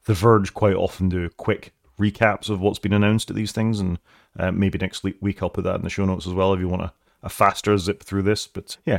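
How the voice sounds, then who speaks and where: A man's voice: deep voice, vocal fry, dry recording. A man hosting a solo tech news podcast, chatting casually with dry asides and frequent self-corrections. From Wales. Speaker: podcast host.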